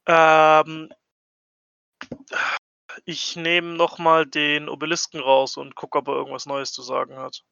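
A man with a bright, fairly high and very clean voice talks casually as a player at a game table. The recording is dry and a little dull. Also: little pitch movement, a little slow, creaky, long pauses, clean tone, no room sound. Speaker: tabletop role-playing player.

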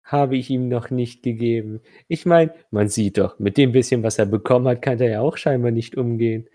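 A man's voice: medium pitch, brisk, dark tone, natural voice. A man voicing a playful, menacing shadow creature in a tabletop game, mocking and teasing his prey.

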